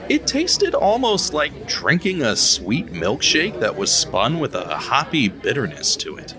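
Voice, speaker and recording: A man narrating a scripted audio drama in a steady storytelling manner, over background music. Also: fairly low pitch.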